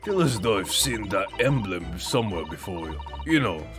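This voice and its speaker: A man voicing a gruff, bossy police inspector in a video game, brusque and muttering. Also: lowish voice, big pitch swings, moderate pace, music in the background. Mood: puzzled.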